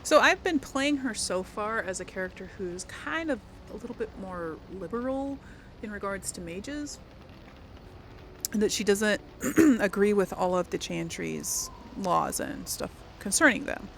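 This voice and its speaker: Lively, creaky-voiced woman gamer. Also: mid-range voice, unhurried, a little hiss or hum. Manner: hesitant, musing aloud, informal. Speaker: gamer, let's play host. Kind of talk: casual gameplay commentary.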